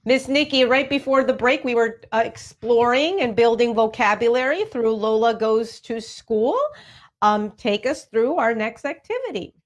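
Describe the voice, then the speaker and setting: Higher-voiced woman hosting radio. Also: moderate pace. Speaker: radio show host.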